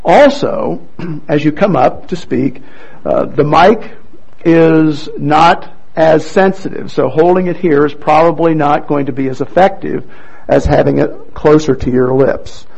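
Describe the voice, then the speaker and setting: A man leading a church service, explaining things deliberately to a congregation over a microphone. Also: a little high, creaky, darkish tone, breathy edge, lo-fi sound. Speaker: church service leader introducing readers. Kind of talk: addressing a congregation, explanatory announcements.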